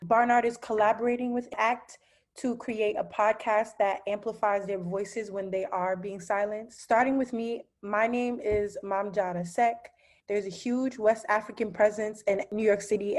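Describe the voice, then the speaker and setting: A woman's voice: medium pitch, steady tone, normal speed, darkish tone. A young woman hosting a podcast interview, introducing herself and asking short questions.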